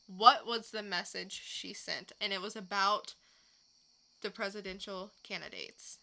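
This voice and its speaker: A woman co-hosts a podcast in a bright, crisp-edged voice of medium pitch. She takes her time, telling stories in an unhurried, conversational way. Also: poor audio. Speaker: podcast co-host. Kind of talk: casual chat, recounting odd news stories.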